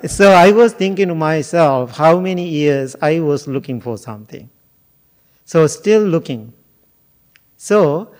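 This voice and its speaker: Monk from sri lanka, talks slow and easy, kinda high voice, jokes with the crowd. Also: male voice, a little mellow. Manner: slow, musing, jokes and asks the audience questions. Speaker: Buddhist monk teacher. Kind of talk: informal dharma talk to a group.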